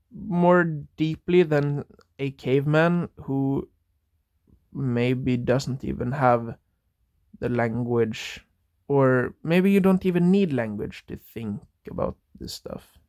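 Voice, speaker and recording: A man's voice: medium pitch, slow, darkish tone, dry recording, clean studio sound. A young man, thinking aloud in a rambling solo monologue that drifts into tangents. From Norway. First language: Norwegian. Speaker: podcast host.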